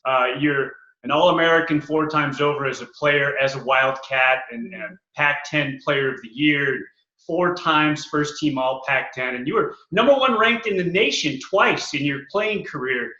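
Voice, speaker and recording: A man hosts an interview with a bright, sharp voice pitched a bit high. His upbeat announcer delivery comes through a roomy, echoing and somewhat muffled recording. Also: normal speed. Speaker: show host. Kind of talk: radio-style interview hosting. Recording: dull, echoey remote audio.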